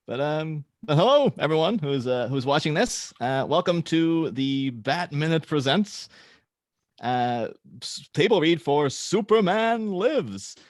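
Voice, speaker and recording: A man hosting and narrating a script read-through in a casual, somewhat halting manner. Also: fairly high pitch, lively intonation, dry recording, clean studio sound. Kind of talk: casual introduction, then reading screenplay directions aloud.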